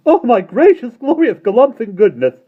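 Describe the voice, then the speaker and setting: A man doing a jolly old gentleman, high and bubbly. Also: big pitch swings, moderate pace. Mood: laughing. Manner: exclaiming, breathless with mirth. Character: jolly old gentleman, giggly and warm-hearted. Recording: dull lo-fi recording.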